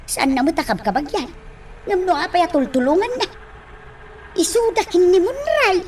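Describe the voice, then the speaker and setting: A woman's voice: extremely high pitch, expressive, moderate pace, darkish tone, slight background noise. An actress playing a caring adult daughter in a radio drama, questioning her father with worry. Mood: dutiful. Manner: dutiful, worried questioning.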